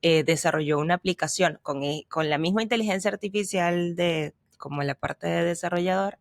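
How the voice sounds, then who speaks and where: A woman's voice: on the low side, a little fast, no room sound. Dry-voiced Spanish-speaking woman explaining. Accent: Spanish mixed with Argentine. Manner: hesitant, restarts and fillers while explaining. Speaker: podcast co-host, language teacher. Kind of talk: casual conversational chat.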